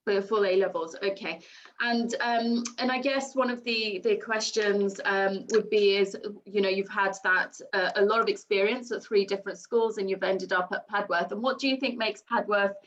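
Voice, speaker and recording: A woman's voice: medium pitch, steady tone, moderate pace, a bit bright, lo-fi sound. A woman hosting a school information session on a video call, polite and upbeat, with frequent ums and thank-yous. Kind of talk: friendly moderating on a video call.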